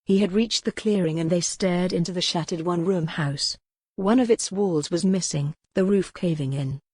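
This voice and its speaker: A woman's voice: fairly low pitch, normal speed, a little mellow, breathy edge. A woman reading scripted, dramatic historical romance narration as an audiobook narrator.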